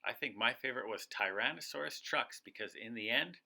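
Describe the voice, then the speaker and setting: A man narrating a children's storybook aloud in an expressive, dramatic storytelling style. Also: medium pitch, a little fast, vocal fry. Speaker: co-reader of a children's read-aloud.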